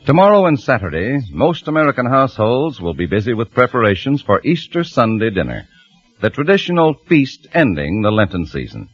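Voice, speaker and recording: A male radio announcer reading a sponsor's commercial in a smooth, persuasive manner. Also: mid-range voice, very animated, brisk. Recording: lo-fi vintage radio broadcast.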